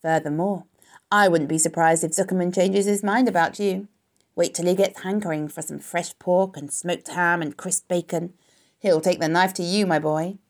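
Smug male barn rat for a storybook, played by a woman: husky, gravelly, callous, snarling and gloating. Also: fairly low pitch, fairly even intonation, normal speed, natural voice. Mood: snarling, taunting. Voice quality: husky, hoarse.

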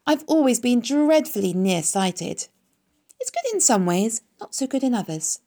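A woman's voice: fairly high pitch, very animated, unhurried, warm tone, pitched above the performer's own voice, heavily acted. Woman as a poised lady spider, lilting voice. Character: poised, kindly, self-assured female spider.

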